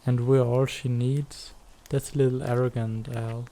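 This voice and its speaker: A man's voice: medium pitch, flat delivery, a little slow, mellow, lightly acted, poor audio. A man playing a snarky, laid-back but caring teenage schoolboy in a comic fan dub, with a dry, sarcastic delivery. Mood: concerned, challenging.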